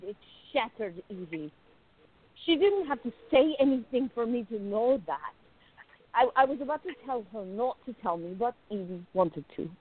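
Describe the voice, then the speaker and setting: A woman playing a jealous, besotted young woman confiding in her diary in a radio play, intimate, expressive and musing. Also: a little high. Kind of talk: dramatic first-person diary narration in a radio play. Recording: narrow phone-like lo-fi sound.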